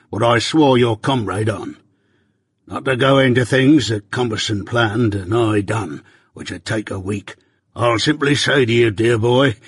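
A man voicing a rough older male ex-convict recounting his past in rustic dialect, confiding and plain. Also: medium pitch, no echo, clean studio sound.

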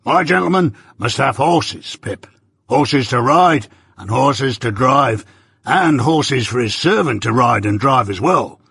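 A weathered old convict with a gravelly, husky voice, voiced by a male reader. He talks in broad rustic dialect, blustering proudly one moment and dropping low the next. Dry, close audiobook sound. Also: fairly bright tone, no room sound, exaggerated voice. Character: rough old male ex-convict, gruff, doting. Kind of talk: audiobook character voice.

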